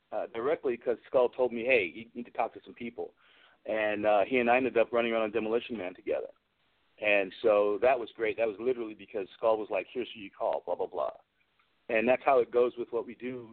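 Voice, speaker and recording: A man's voice on a narrow, phone-like radio line, in an average male register. He talks as a guest, spinning informal, slangy anecdotes in a loose, rambling way. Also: mid-range voice. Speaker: guest, film special effects and prop maker. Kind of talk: casual anecdotal interview chat. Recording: phone-like narrow-band radio call-in audio.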